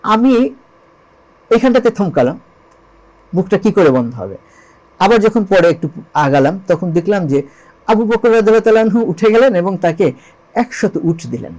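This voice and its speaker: A man's voice: sits high, very animated, normal speed, long pauses, darkish tone, rough recording. A Bangladeshi man speaking as an interview guest, telling religious history in an explanatory storytelling way, emphatically repeating key phrases and posing rhetorical questions. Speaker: interview guest, lyricist and composer.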